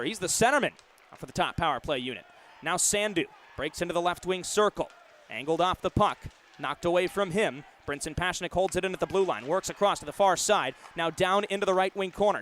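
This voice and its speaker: A young man calling live sports play-by-play for radio in crisp, clipped announcer phrasing. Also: sits high, fairly bright tone. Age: young adult. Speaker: play-by-play broadcaster. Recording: live sports broadcast with venue background noise.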